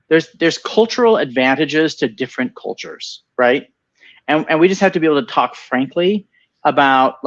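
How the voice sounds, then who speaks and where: Man, co-hosting a webinar, enthusiastic, rambling restarts, slightly muffled lo-fi audio, video call. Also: mid-range voice. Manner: enthusiastic, rambling with many repeated starts. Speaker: venture capital partner and co-host. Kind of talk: casual webinar conversation.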